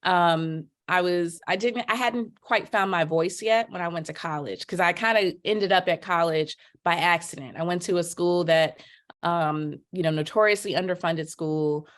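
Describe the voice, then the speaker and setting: A middle-aged woman telling her story as a podcast guest, lively, acting out quotes with big jumps in pitch. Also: normal speed. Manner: animated, voices quotes with big pitch leaps. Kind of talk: storytelling in casual chat.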